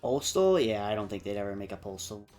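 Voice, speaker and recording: A man's voice: lowish voice, big pitch swings, quick pace, darkish tone, faint music behind. A man on a discussion panel giving brief, blunt opinions in casual conversation. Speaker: round table panelist. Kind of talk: casual chat.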